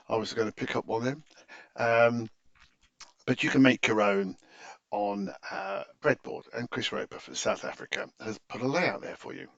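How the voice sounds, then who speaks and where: A man's voice: mid-range voice, creaky, no echo. A man narrating an informal technical tutorial in a hesitant, stop-start way, often correcting himself, in a slightly muffled close-miked recording. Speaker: tutorial presenter.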